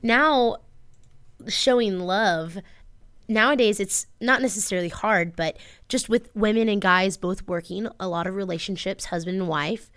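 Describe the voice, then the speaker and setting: A woman's voice: mid-range voice, unhurried, a bit bright, smooth. A woman co-hosting a radio show in casual chat, telling stories in an earnest, rambling way. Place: community radio studio.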